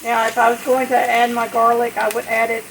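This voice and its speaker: A woman chatting casually and in a folksy, unhurried way as she demonstrates home cooking. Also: on the high side, completely flat delivery, rough recording. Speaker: home cook hosting a recipe video. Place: home kitchen at the stove. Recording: clear background kitchen noise, frying sizzle. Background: sizzling skillet, stirring.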